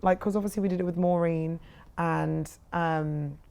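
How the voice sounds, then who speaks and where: A young woman co-hosting a casual podcast chat, a little croaky, bubbly and laughing often. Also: on the low side, steady tone, normal speed, darkish tone. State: croaky voice after a big event. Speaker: podcast co-host.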